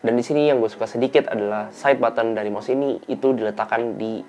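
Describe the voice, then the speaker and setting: A young man talks through a product review in a relaxed, slangy way. His nose is clearly blocked, so the voice sounds stuffy and a little worn out. Light music plays behind him. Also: mid-range voice, quick pace. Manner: relaxed, chatty, slang-heavy delivery. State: tired and run-down. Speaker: tech reviewer hosting his channel.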